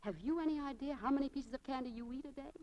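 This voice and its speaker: A woman's voice: high voice, racing, darkish tone, muffled recording, close to an everyday voice. A woman playing a chatty, spirited young saleswoman in a 1940s radio drama. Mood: eager, persuasive. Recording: old 1940s radio broadcast.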